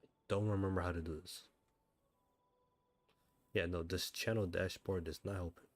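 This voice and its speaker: A man chatting casually as he livestreams a game, thinking out loud and often asking himself questions. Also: sits low, fairly even intonation, normal speed. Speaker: livestreamer, gamer. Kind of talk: casual livestream chat while playing a game.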